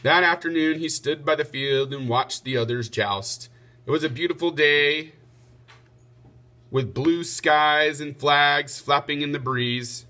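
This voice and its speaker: A man's voice: on the high side, unhurried, sharp tone. A man narrating a children's storybook aloud, like a teacher reading to pupils.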